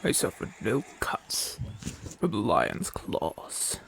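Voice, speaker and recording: A man playing a proud, haughty Roman gladiator in an ASMR audio roleplay, imperious and formal, often dropping to a breathy murmur. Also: lowish voice, wildly swooping pitch, slow, a bit bright, a little hiss or hum, heavily acted. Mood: haughty, guarded. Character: proud, haughty Roman gladiator champion, gruff but softening.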